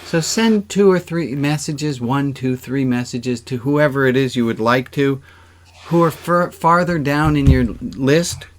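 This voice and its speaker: A male rabbi with a mid-pitched voice leads an interactive, informal service. He talks conversationally, jokes lightly and encourages participants as he guides them through exercises. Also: a little slow, slight background noise. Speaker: rabbi leading an online service.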